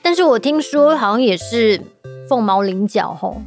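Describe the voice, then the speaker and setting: A woman's voice: on the high side, lively intonation, moderate pace, faint music behind. A woman with a Taiwanese accent, hosting a podcast in a playful, conversational way. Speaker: podcast co-host. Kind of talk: casual podcast chat.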